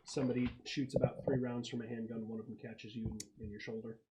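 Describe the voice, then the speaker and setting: A man narrates a tabletop game as game master. His voice sits at a middle pitch and his delivery is casual and conversational. The recording has faint room noise and clicks. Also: level tone, fast talker, dark tone, low-quality recording.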